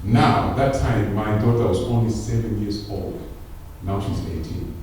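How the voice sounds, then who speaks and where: A man's voice: lowish voice, measured pace. Man giving an opening address, preacher-like emphasis, heard from far off in a very echoey hall with faint room noise. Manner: preacher-like, rises to emphatic peaks. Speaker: conference founder giving opening speech. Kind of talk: public speech. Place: large hall. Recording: echoey hall, distant mic.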